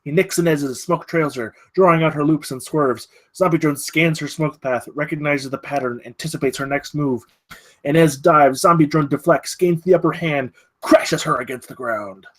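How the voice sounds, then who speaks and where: A man's voice: on the high side, moderate pace, a bit bright, poor audio. Man narrating screenplay action. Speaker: narrator reading stage directions. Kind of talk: scripted narration at a screenplay table read.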